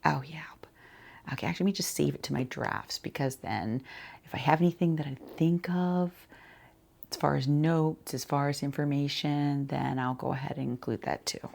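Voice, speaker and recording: Soft, husky, creaky-voiced woman doing ASMR. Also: low voice, fairly even intonation, moderate pace. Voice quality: soft, breathy, often dropping to a near whisper. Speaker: ASMR roleplayer as boutique shopkeeper and channel host. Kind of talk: quiet-talking ASMR roleplay, personal-attention chat.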